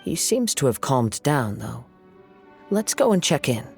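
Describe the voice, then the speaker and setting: A gravelly young wandering swordsman from a video game. He is calm and reflective, with solemn, poetic phrasing in a mid-pitched voice. Soft music plays behind him. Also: a little slow. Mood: gently regretful. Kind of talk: dramatic video game story dialogue.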